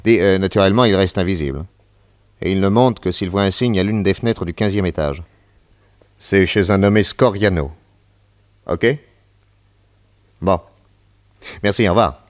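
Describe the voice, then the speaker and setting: An actor playing a confident, unflappable private detective in a French radio serial, breezy and commanding. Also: male voice, sits low, moderate pace, long pauses, lightly acted. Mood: breezy, assured.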